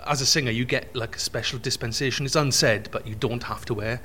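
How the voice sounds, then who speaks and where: A middle-aged man with a Welsh accent chats backstage in a jokey, self-deprecating way. His voice sits at a middle pitch, with a faint hiss underneath. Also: fairly even intonation, fast talker, bright, forceful tone. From Wales. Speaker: singer and presenter. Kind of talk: casual interview chat. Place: backstage room.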